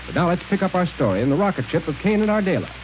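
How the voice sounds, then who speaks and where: A man's voice: high-pitched, sing-song, racing. A man announcing a 1930s radio serial with dramatic scripted narration. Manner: dramatic, story-telling. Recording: muffled, faintly noisy 1930s radio recording.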